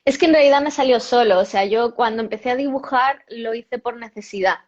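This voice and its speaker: A woman's voice: fairly high pitch, a little fast, a little mellow. A woman, a yoga cartoonist, chatting casually as an interview guest, correcting herself often and laughing now and then. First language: Spanish. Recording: dull lo-fi audio.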